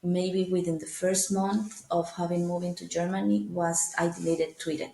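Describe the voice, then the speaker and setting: A woman's voice: lowish voice, little pitch movement, normal speed, gravelly, warm tone. Venezuelan woman speaking English in an echoey room. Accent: Venezuelan Spanish. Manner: thoughtful, frequent fillers and restarts, laughs easily. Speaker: guest. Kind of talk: casual interview talk.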